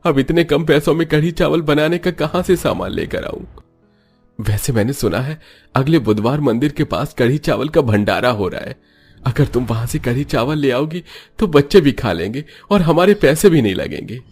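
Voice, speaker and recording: A man's voice: mid-range voice, expressive, moderate pace, darkish tone, husky, voice pushed up. A man acts a poor cartoon husband, weary and resigned. He explains things earnestly, with faint music behind the voice. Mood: suggesting. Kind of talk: cartoon story voice acting.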